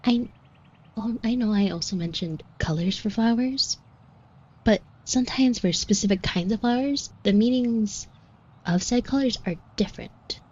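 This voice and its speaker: A woman playing a shy, flustered florist with a crush in an audio roleplay, speaking softly and breathily in a hesitant, apologetic way. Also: on the high side, normal speed, slightly rough, highs cut off. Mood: nervous rambling. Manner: hesitant, stammering, rambling, apologetic. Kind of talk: intimate roleplay audio acting.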